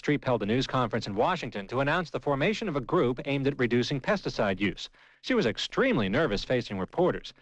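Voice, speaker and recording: A man reads a scripted entertainment report for radio. His medium-pitched voice moves up and down in an animated way, recorded dry and close. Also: fast talker, no echo, dull audio.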